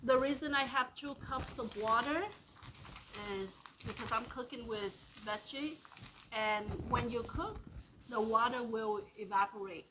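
A woman's voice: on the high side, unhurried, noisy background. A middle-aged woman hosting a home cooking show, explaining each step plainly as she cooks in her kitchen. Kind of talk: casual cooking demonstration.

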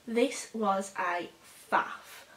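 A young woman with a throat still hoarse from a cold, talking unhurriedly through a makeup tutorial. She rambles and restarts, with plenty of fillers. The room around her is echoey. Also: medium pitch, a bit bright. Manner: rambling, halting, with many fillers. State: hoarse, recovering from a cold, coughing. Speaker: beauty vlogger. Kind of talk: casual makeup tutorial chat. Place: small bedroom indoors.

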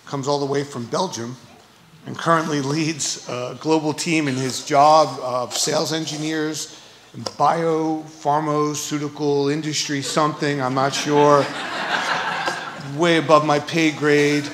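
A man's voice: a little high, flat delivery, a little slow, noisy background. Man introducing a speaker in a roomy church. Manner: friendly, joking asides, hesitant fillers. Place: church stage.

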